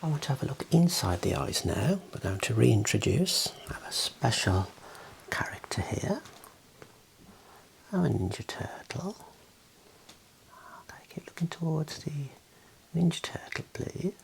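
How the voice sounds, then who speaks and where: A man in his thirties whispering softly and breathily in a calm, gentle ASMR roleplay as an examiner giving instructions, close to the mic in a small room. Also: mid-range voice, a little slow, creaky, pauses often, rough recording. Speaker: ASMR host playing an eye examiner.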